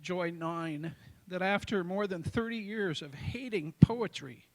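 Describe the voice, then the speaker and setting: An older American man in his sixties recites his own poems in a tenor voice that sits a little high. He phrases each line deliberately, leaning on key words and leaving dramatic pauses between them. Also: poor audio. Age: 60s. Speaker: amateur poet. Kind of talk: reciting own poetry to a live audience.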